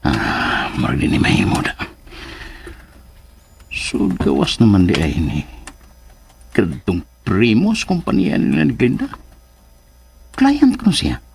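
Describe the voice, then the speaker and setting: A man acting a gruff, irritable character in a radio drama, with a rough, gravelly voice. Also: low-pitched, wildly swooping pitch, takes it slowly, long pauses, full character voice. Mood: muttering.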